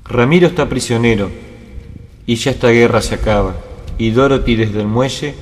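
A man's voice: mid-range voice, normal speed. A man narrating a story as a poetic recitation. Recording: long reverb, music behind. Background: music.

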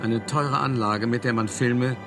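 An actor playing an urbane, self-satisfied art dealer, telling his story in a measured, articulate radio play monologue. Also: mid-range voice, fairly even intonation, over loud music, lightly acted. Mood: reminiscing, wry.

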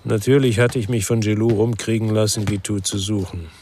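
Low-voiced brooding ex-cop played by a man. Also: fairly even intonation, brisk, background noise, close to an everyday voice. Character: brooding male ex-policeman narrating his own crime story. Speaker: first-person narrator and lead actor. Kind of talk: literary radio crime drama narration.

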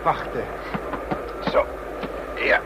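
An actor in a Dutch radio drama playing a nervous, evasive travelling salesman who speaks in a hesitant, flustered stammer. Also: drawn-out words. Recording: noisy radio play with vehicle rumble.

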